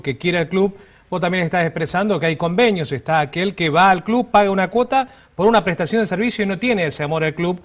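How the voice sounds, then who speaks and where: A man with an Argentine accent co-hosts an interview programme. His voice is a bit higher than most men's, and he puts questions in a conversational style. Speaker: co-host interviewer.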